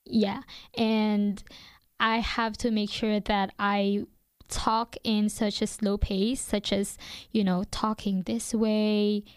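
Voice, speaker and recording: A young woman with a non-native English accent, hosting a radio show and talking through her thoughts in a relaxed way, hesitating often with fillers and restarts. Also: medium pitch, slow, smooth, dry recording, clean studio sound. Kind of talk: reflective casual monologue.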